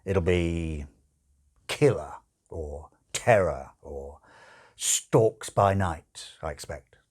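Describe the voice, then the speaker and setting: A man voicing a suave, sardonic male demon in an audiobook, urgent and brooding, with emphatic, drawn-out phrasing. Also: deep voice, a little mellow, exaggerated voice. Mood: explanatory, ominous.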